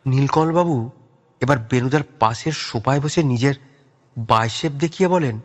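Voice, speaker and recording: A man's voice: medium pitch, moderate pace. A man narrating a scripted audio story in a dramatic storytelling style.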